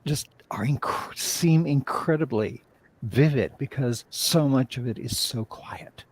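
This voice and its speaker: A man chatting as an interview guest, speaking effusively in a halting, reflective way. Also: medium pitch, breathy edge. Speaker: writer and director, interview guest. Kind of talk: casual interview chat.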